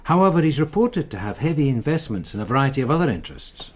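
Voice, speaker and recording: A man's voice: mid-range voice, sing-song, husky. An actor playing a weary male detective sergeant in a radio drama, dryly deferential and understated. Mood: dry briefing. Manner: dry, understated, measured briefing.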